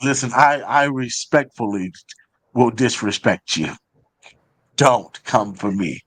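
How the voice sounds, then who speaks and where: A man's voice: medium pitch, takes it slowly, fairly bright tone, dry recording. Blunt, emphatic man hosting a talk show. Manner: blunt, emphatic, repeats phrases. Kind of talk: casual opinionated panel chat.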